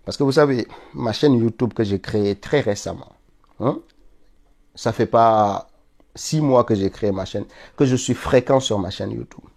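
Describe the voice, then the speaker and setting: Man with a cold, gravel in a low dark voice, insistent live political rant, repeats himself and builds to outrage. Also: normal speed. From Senegal. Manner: insistent, repetitive, rising to indignant peaks. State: stuffy with a cold. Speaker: political commentator streaming live.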